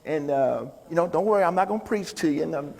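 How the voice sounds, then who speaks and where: Man, higher-pitched voice, upbeat stage speaker, folksy banter, reverberant hall. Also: lively intonation, fast talker, gravelly, darkish tone. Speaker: motivational speaker and talkbox musician. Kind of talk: live stage talk to an audience. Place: large indoor stage venue.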